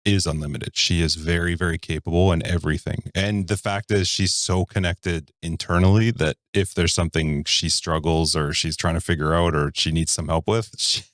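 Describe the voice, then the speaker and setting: A man with a very deep, low voice co-hosting a casual business podcast. He chimes in with short agreements and adds points in a supportive way. The sound is dry and close to the mic. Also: fairly even intonation, moderate pace, hardly any pauses, fairly bright tone, no room sound. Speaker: brokerage podcast co-host.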